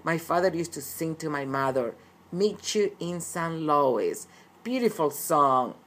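A woman's voice: sits low, lively intonation, a little slow, a bit bright. A middle-aged woman from Colombia, speaking earnestly and often repeating herself as an interviewed author. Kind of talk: recorded interview.

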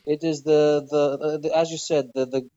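A man from Greece answers questions in a relaxed, hesitant way, full of fillers. His voice is dark and mellow. The sound is dry and a bit dull. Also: a little high, normal speed, no echo. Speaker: guest. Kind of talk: casual interview chat.

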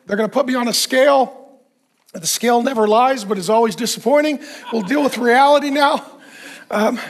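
Guy preaching in a really high voice, losing his voice, folksy jokey asides. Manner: folksy and self-mocking, with quick asides. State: losing his voice, clearing his throat. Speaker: pastor. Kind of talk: sermon. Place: church in front of a congregation.

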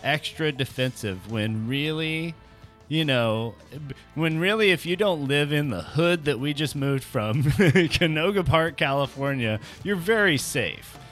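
Halting male podcast co-host over music. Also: fairly high pitch, expressive, fairly bright tone. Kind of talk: casual comedic banter.

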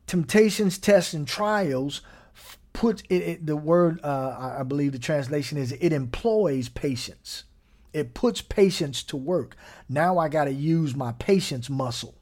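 A man preaching a sermon in a slightly higher voice. He keeps a relaxed, conversational tone and checks in with his listeners often. Now and then he chuckles or sinks almost to a whisper. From Gary, Indiana.

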